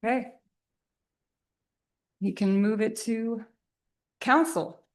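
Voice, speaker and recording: A woman chairing a public council meeting, brisk and procedural as she calls a roll-call vote. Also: a little high, steady tone.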